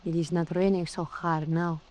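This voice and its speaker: An actress playing a fiery, world-weary waterfront woman in an old-time radio drama, speaking in dramatic, deliberate phrases. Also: fairly low pitch, flat delivery, a little mellow, lightly acted. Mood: urgent.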